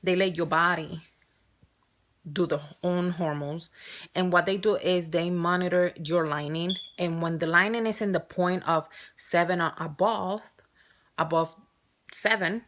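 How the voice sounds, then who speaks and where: A woman's voice: lowish voice, fairly bright tone. A woman in her thirties with a strong Spanish accent, talking openly and chattily in a personal vlog, often restarting and correcting herself. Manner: chatty and open, with frequent restarts and self-corrections. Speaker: vlogger.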